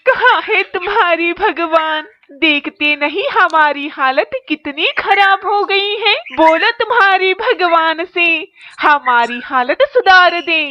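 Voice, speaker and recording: A woman's voice: extremely high pitch, bright, forceful tone, full character voice. A woman voicing a bitter, complaining female crow wife in a children's cartoon, on a muffled, noisy recording. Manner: complaining, scolding. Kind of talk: children's cartoon voice acting in Hindi.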